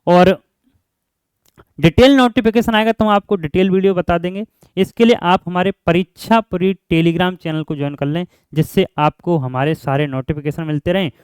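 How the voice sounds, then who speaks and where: A man's voice: sits high, darkish tone, clear, resonant tone, no room sound. A man explaining like a coaching teacher, often repeating himself and answering his own questions. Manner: repetitive, asks questions and answers them himself, frequent check-in tags. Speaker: exam coaching teacher and channel host. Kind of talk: informal explainer lesson for job aspirants.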